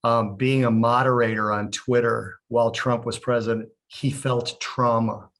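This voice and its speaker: Male podcast co-host, mid-pitched, blunt and provocative questioner. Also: level tone, measured pace, a bit bright. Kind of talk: casual interview chat.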